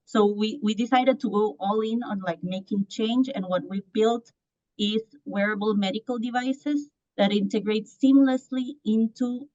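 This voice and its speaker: A woman's voice: a little high, fairly even intonation, normal speed, warm tone. A woman from Costa Rica in her thirties, a startup founder answering on a panel in an earnest way with many restarts. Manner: earnest, lots of restarts and fillers. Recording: muffled lo-fi audio.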